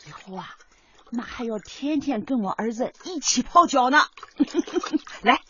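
A woman's voice: high voice, expressive, takes it slowly, pauses often, noisy background. An actress playing a doting, fussing middle-aged mother in a radio drama, with homely, exclamatory speech. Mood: cheerful, coaxing. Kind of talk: Chinese radio drama acting. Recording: dull lo-fi radio drama with music.

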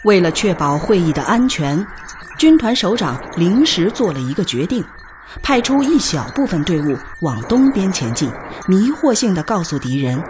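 A woman's voice: on the low side, sing-song, moderate pace, over music. A woman giving solemn, carefully enunciated scripted narration for a radio drama.

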